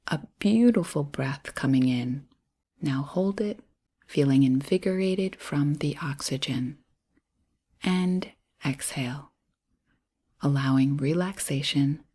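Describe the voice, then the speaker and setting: A low-voiced woman leads a guided meditation at a very slow pace. Her voice is soft and breathy, with airy edges to the words. She speaks gently and soothingly, leaving space between short phrases.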